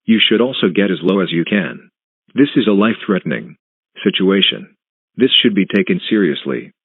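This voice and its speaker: Phone-band, low-voiced male alert announcer. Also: lively intonation, normal speed, breathy edge, no echo, phone-quality sound. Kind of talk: scripted emergency weather warning announcement.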